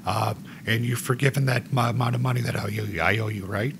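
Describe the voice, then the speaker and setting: A man in his forties with a gravelly voice, hosting a joking podcast chat. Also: medium pitch, fast, background noise. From United States. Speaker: podcast host.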